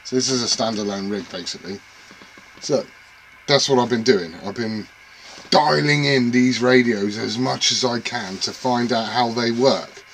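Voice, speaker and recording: A man's voice: mid-range voice, normal speed, fairly bright tone, slight background noise, rough recording. A man rambling casually and self-deprecatingly to camera about his radio gear at home. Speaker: CB radio hobbyist vlogger.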